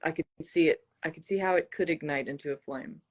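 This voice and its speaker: A woman's voice: deep voice, fairly quick, darkish tone. A woman being interviewed, talking casually and reflectively, hesitant as she searches for her words. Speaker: interview guest, singer-songwriter.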